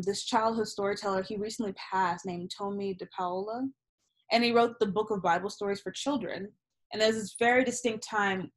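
A woman's voice: medium pitch, low-quality recording. A young woman poet, a native Yoruba speaker, talking candidly and animatedly about her writing over a video call from a small room at home. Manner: animated, gesturing, candid with swearing. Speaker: poet, interview guest. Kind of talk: casual reflective conversation. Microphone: laptop webcam on video call.

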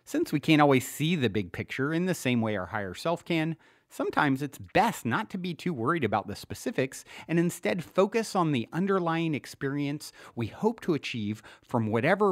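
Male self-help narrator, lively intonation, measured instructional delivery, close dry sound. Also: fairly high pitch, no echo. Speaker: podcast host reading his own self-help book. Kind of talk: scripted audiobook narration, guided instruction.

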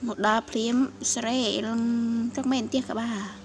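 A woman's voice: fairly high pitch, fairly even intonation, slow, slight background noise. A woman chatting casually while looking after a pet, recorded on a home video. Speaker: monkey caretaker. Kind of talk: casual chat.

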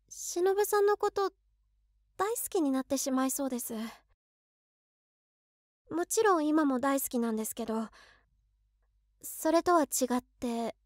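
An actress playing an overworked, excitable office woman in her twenties who is a devoted fan, musing aloud expressively in a voice drama. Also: high voice, no room sound, studio quality. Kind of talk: Japanese situation voice drama monologue.

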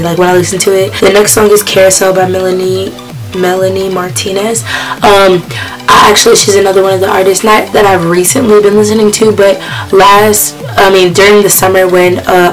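Hoarse teenage girl chatting about songs. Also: medium pitch, rough recording. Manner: chatty, rambling, with many fillers. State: hoarse, losing her voice. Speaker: vlogger. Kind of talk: casual chat about a music playlist. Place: small bedroom, indoors.

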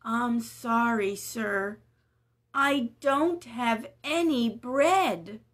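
Woman doing a sad, soft-spoken poor widow. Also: fairly high pitch, drawn-out words. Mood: sorrowful. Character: sad, poor widow mother, kind and weary.

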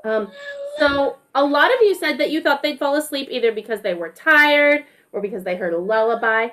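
A woman's voice: high voice, animated, normal speed, a bit bright. A woman teacher reading a storybook to young children and asking them questions in an encouraging, teacherly way. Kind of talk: storybook read-aloud with questions to a group of children.

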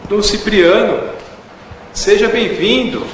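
A man's voice: sits high, animated, slow pace, slight background noise. An actor playing a fatherly, reverent male priest in a radio soap, speaking in a ceremonial, devout way. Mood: reverent, welcoming. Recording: very echoey church-like hall, distant.

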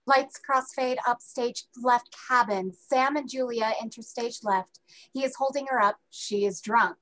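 A woman's voice: a little high, measured pace, a bit bright, rough recording. A woman narrating scripted stage directions for a play reading over a video call.

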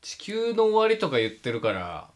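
A man's voice: high-pitched, huge pitch swings, normal speed. A young man with a Kansai accent, talking casually as a radio co-host in a small studio. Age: young adult. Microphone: studio tabletop microphone with headphones.

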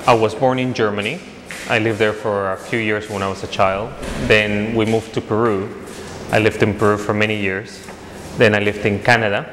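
Brief: man, forties, low voice, matter-of-fact, some reverb and hiss. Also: fairly even intonation, moderate pace. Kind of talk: interview answers. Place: room indoors.

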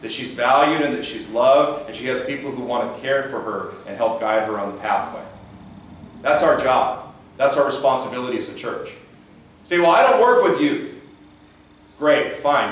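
Pastor with a big voice in an echoey church. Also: male voice, mid-range voice, expressive, normal speed, recorded from a distance. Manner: conversational preaching with rhetorical questions. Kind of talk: sermon. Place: church sanctuary. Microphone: far camera, room mic. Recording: echoey church sanctuary, mic from afar.

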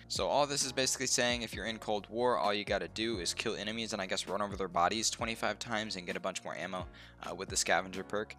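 A man's voice: on the low side, bright, forceful tone. A young man with a stuffed-up, slightly nasal voice, casually walking through game unlocks with lots of ums and uhs at his desk mic. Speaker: gaming youtuber. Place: home room, indoors.

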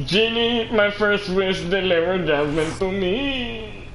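A man voicing a scheming, gloating sorcerer villain in a game, in a pompous, drawn-out, theatrical manner. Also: high-pitched, fairly bright tone, pitched above the performer's own voice, heavily acted. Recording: roomy echo, faint background noise.

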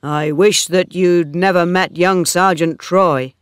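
A woman voicing an earnest, worried young male farm worker in love, calm, sad and sincere, as storybook dialogue. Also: fairly low pitch, slow pace, clear, resonant tone, dry recording, clean studio sound. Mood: worried, cautioning.

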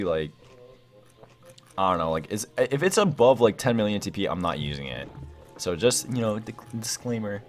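Young man with animated, swinging pitch. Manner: excitable, slangy, exclaiming. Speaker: gaming video host. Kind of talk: casual gameplay commentary.

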